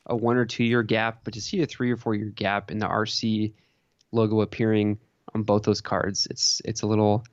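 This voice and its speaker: A man with a fairly low voice on a slightly dull, lo-fi recording with little room sound. He chats informally, like a podcast co-host talking sports and collecting. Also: flat delivery, normal speed, dry recording. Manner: informal, conversational. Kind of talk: casual sports and hobby chat.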